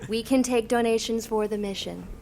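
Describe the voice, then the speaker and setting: Organized, high-voiced college student. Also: female voice, a little fast, creaky, crowd noise. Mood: in charge. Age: young adult. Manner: orderly, takes charge. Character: organized, earnest young female college student, bossy planner. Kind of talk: audio drama acting.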